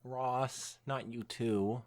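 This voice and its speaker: A young man hosting a podcast, reading fan fiction aloud in an animated way mixed with casual chat. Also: mid-range voice, drawn-out words.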